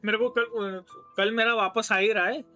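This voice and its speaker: A man's voice: high voice, steady tone, rapid-fire, sharp tone. A man with an Indian accent chatting casually as he streams a game, informal and reassuring, mixing in English. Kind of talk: casual livestream chat over gameplay. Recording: stream voice over game music. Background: faint game music.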